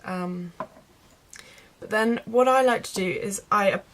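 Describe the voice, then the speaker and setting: A woman's voice: medium pitch, unhurried, a bit bright. A woman chatting casually as a beauty vlogger, explaining things step by step to her viewers in an informal tutorial style. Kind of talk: casual makeup tutorial chat.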